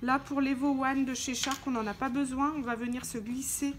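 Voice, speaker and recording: A woman's voice: high-pitched, level tone, normal speed, a little hiss or hum. A woman giving an informal, hands-on product tutorial, explaining step by step as she handles the parts. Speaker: tutorial presenter for a motorcycle gear channel. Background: background music.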